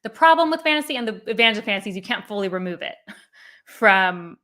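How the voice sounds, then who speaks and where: A woman with a bright, forward voice hosts a casual chat about books. She rambles, with plenty of fillers and restarts. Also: mid-range voice, lively intonation, fast talker. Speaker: booktube host. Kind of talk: casual book discussion.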